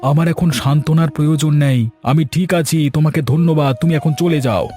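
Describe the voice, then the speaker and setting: A man plays a ruined farmer in a cartoon, talking fast and curt to send a neighbor away, in a mellow, darker tone. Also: a little high, fairly even intonation, soft background music, natural voice. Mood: irritated. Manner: curt, dismissive, upset. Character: ruined upset farmer, irritable.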